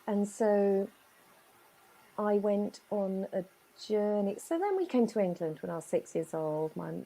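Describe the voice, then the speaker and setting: A woman's voice: mid-range voice, lively intonation, warm tone, low-quality recording. A woman from Chile with a Chilean Spanish accent, telling her life story earnestly in an interview. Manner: earnest, emphatic storytelling with dramatic pauses. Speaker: guest business owner.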